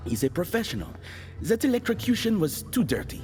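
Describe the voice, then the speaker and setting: An actor playing an irritable, world-weary hired killer in an audio drama, dry, sarcastic and menacing. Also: male voice, sits high, sing-song, brisk, darkish tone, long reverb tail, faint music behind. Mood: accusing.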